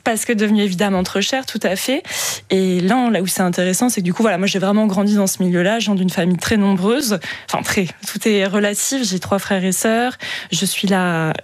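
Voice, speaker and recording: Casting: female, twenties, mid-pitched, dry recording, conversational interview guest who explains and rephrases as she goes. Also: brisk, no echo. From Paris western suburbs, France. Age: 20s. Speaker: guest, journalist and author.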